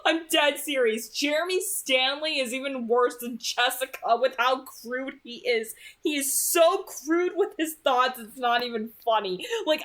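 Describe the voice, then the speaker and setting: A woman's voice: high voice, fairly bright tone. A woman chatting casually as she gives book commentary, rambling and emphatic, often repeating phrases for stress. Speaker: read-aloud channel host giving commentary.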